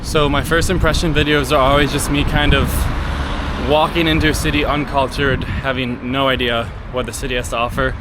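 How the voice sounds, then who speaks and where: American man vlogging over clear street noise. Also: medium pitch, flat delivery, fairly quick. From United States. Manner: informal and rambling, full of likes and slang. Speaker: travel vlogger. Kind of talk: casual unscripted vlog chat to camera. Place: outdoors walking through a city. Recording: clearly noisy outdoor city street with traffic.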